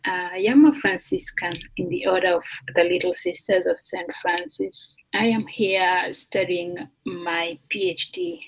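A woman from Kenya, a doctoral student, talking slowly and thoughtfully with fillers as a guest on a remote podcast interview. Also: fairly low pitch, vocal fry, poor audio. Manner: slow, thoughtful, with fillers and restarts. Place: remote call.

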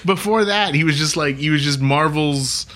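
A man's voice: fairly high pitch, moderate pace, background noise. A man hosting a casual podcast, talking loosely with many "like"s and fillers. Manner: loose, filler-heavy, lots of "like". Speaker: podcast host. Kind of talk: casual chat.

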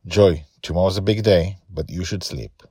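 A man's voice: deep voice, moderate pace, dark tone, breathy edge, no room sound, clean studio sound. A man voicing a caring, anxious male friend of a young girl in a storybook, earnest and questioning. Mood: anxious, tender. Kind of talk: storybook character dialogue.

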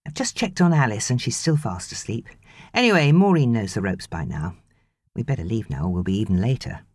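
A woman's voice: rumbling low, animated, a little fast, darkish tone, breathy edge, no room sound, studio quality, heavily acted. A British woman voicing an affectionate, teasing husband in an audiobook. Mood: impatient. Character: easygoing, teasing, affectionate husband, adult man.

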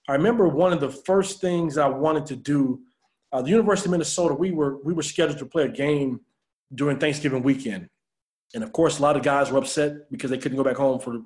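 An American man being interviewed as an author, telling personal stories in a reflective, earnest way. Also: on the high side.

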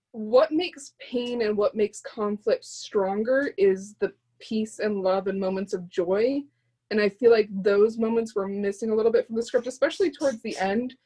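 A woman's voice: fairly high pitch, a little mellow. A woman offering feedback in a casual workshop discussion, politely and tentatively. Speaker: participant giving feedback.

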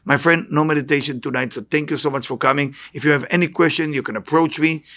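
Higher-pitched Israeli man giving a spiritual talk. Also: steady tone, fast talker, pushed and bright. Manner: emphatic, repeats short phrases. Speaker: spiritual teacher. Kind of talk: inspirational talk with anecdotes to a live audience.

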